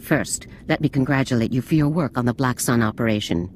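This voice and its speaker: An actress playing a stern female military general who gives brisk, authoritative orders. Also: extremely low pitch, darkish tone, a little hiss or hum. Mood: commanding. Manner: brisk, authoritative, scornful of bureaucrats.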